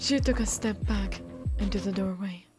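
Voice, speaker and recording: Expressive woman gamer over prominent music. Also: medium pitch, moderate pace. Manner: expressive, breaks off reading to react with asides. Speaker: gaming video creator. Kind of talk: visual novel playthrough, reading aloud with casual commentary. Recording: music playing behind the voice. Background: game music.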